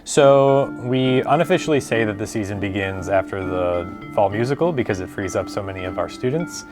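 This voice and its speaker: A middle-aged man with a low voice explains his school's speech team in a relaxed, conversational way, pausing on the odd filler. A clear music bed plays under his voice. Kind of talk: interview answers.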